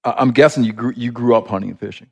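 A man's voice: medium pitch, steady tone, racing, vocal fry. A man hosting a podcast, asking curious, probing questions in a casual interview. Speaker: podcast host.

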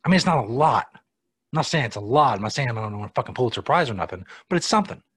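A husky-voiced American man hosts a casual live show, swearing freely and making dry, sardonic remarks. The sound is close and dry, with no room behind him. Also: mid-range voice, expressive, very fast, fairly bright tone, no echo. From United States. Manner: profane, sardonic, mutters asides to himself. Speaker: podcast host.

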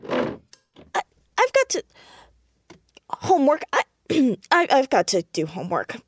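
An anxious fourteen-year-old girl sleuth in naturalistic audio drama. The voice is high and very animated, yet slow to get sentences out. She stammers defensively and restarts, easily flustered. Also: slightly rough, no room sound, clean studio sound, full character voice. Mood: nervous, awkward.

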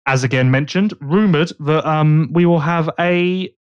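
A man's voice: a little high, a little slow, clean tone, dry recording, highs cut off. A man hosting a casual podcast, chatting and joking while he thinks aloud. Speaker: podcast host.

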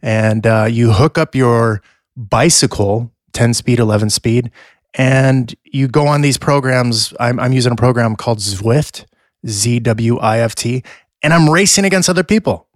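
A man's voice: medium pitch, unhurried, dry recording, clean studio sound. A middle-aged man with a scratchy voice, co-hosting a podcast in casual chat and rambling through fillers and restarts. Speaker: podcast co-host.

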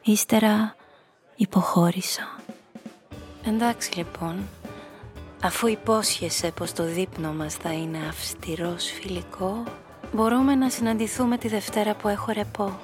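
A woman's voice: medium pitch, over music, lightly acted. An actress playing a cool, calculating nightclub hostess, telling her story and speaking her lines in a composed, knowing radio drama style. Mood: coyly flirtatious. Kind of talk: first-person noir radio play narration and dialogue.

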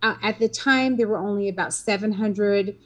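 A woman's voice: mid-range voice, normal speed. Fifties woman, interview guest, rambling conversational delivery. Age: 50s. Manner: rambling, many fillers and restarts.

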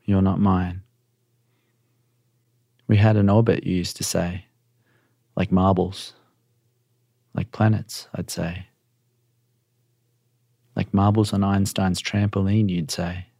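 Very deep, creaky male storyteller. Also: little pitch movement, slow, long pauses, warm tone, no room sound, studio quality. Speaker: storyteller reading own short story. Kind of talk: literary first-person narration.

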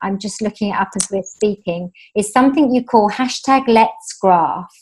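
A woman's voice: mid-range voice, moderate pace, a little mellow, slight background noise, low-quality recording. A woman hosting a podcast interview, speaking with enthusiasm and emphasis, stringing ideas together in lists. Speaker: podcast host.

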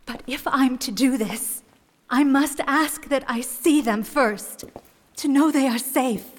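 An actress playing a shrewd, composed young woman in a fantasy audio drama, speaking formally and deliberately. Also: high voice, long reverb tail. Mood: resolute.

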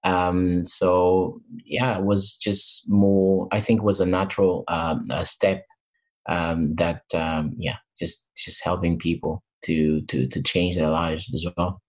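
低い声で言いよどむ男性. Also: male voice, deep voice, level tone, slow pace. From Portugal and Africa. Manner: hesitant, many fillers and restarts. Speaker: interview guest, mentor. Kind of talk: interview answers. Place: in England.

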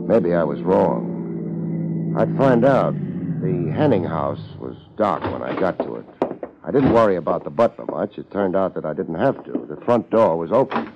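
Laid-back American gumshoe, deadpan and very deep, vintage radio mystery with muffled sound. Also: male voice, heavily acted. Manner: deadpan, wisecracking. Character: wry, laid-back, hardboiled private detective narrating his case. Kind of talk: 1950s American radio detective drama acting. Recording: dull 1950s radio recording.

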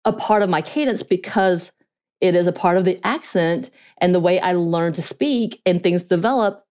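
Woman, podcast co-host, lowish voice, light Korean-Southern accent, candid and self-analytical. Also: a little mellow. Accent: Korean and North Georgia mountain. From United States, North Georgia. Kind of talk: casual podcast chat.

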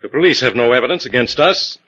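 A man's voice: medium pitch, lively intonation, quick pace, fairly bright tone. An actor playing a persuasive, insistent male swindler in 1940s radio drama style. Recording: dull 1940s radio recording.

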